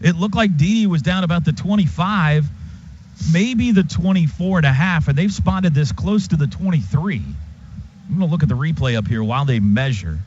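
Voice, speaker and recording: A man doing live radio play-by-play of a football game in clipped phrases that can turn excited. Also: on the high side, moderate pace. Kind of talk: live American football commentary. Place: stadium radio booth. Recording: noisy, muffled stadium radio broadcast.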